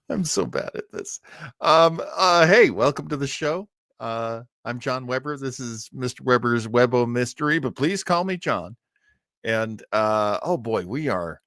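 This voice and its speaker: An American man hosting a casual livestream from his home studio, talking in a halting, earnest, self-deprecating way. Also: mid-range voice, fairly bright tone, dry recording. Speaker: live show host.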